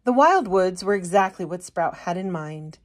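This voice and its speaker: A woman reading a children's picture book aloud as an expressive storyteller. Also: lowish voice, normal speed. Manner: expressive storytelling, putting on character voices. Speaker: storytime reader.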